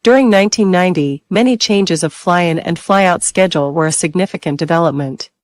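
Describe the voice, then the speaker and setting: A woman's voice: lowish voice, brisk, dry recording, studio quality. A woman reading an informational passage aloud as scripted narration. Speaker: exam practice reader.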